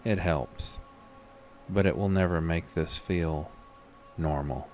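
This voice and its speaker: Haunted male horror narrator played by a male actor: very low voice, unhurried and weary, music underneath. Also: a little mellow. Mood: somber. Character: haunted man recounting a horror, weary and uneasy. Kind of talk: scripted first-person horror audio drama narration.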